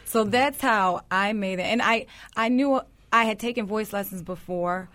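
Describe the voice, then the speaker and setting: A woman's voice: mid-range voice, normal speed. A woman, an opera singer, talking about how she got into opera in a casual, laughing way on a panel. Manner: warm laughing storytelling.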